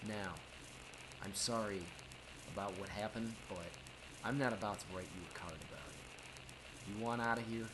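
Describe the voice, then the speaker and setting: An actor playing a cool, menacing double-crosser, quiet and clipped, in old-time radio drama style. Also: male voice, fairly low pitch, moderate pace, pauses often, background noise, lightly acted. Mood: cool, threatening. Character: cool, menacing double-crossing tough who makes deals.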